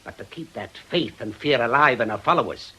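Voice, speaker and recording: A stubborn, vengeful older man in a radio play. His voice is raspy and sharp, swinging widely in pitch as he speaks. He is blunt, scornful and quick to accuse. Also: lively intonation. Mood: bitter, accusing. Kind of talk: British radio drama acting.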